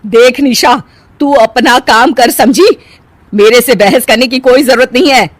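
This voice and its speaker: A woman's voice: fairly high pitch, lively intonation, moderate pace, dry recording. A woman voicing a haughty, domineering middle-aged mother-in-law in a cartoon family drama. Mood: indignant, scolding. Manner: scolding, domineering.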